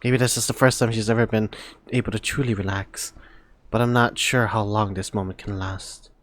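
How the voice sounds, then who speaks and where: A woman giving casual, exclamatory reactions to a game as a streamer, expressive and prone to swearing. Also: a bass voice, moderate pace. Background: game music.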